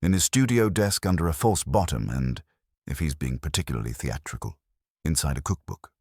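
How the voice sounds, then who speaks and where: A man's voice: rumbling low, lively intonation, a little fast, a little mellow, no room sound, clean studio sound, heavily acted. A man voicing a guarded, decisive society wife in an audiobook, terse and controlled. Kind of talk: audiobook character dialogue.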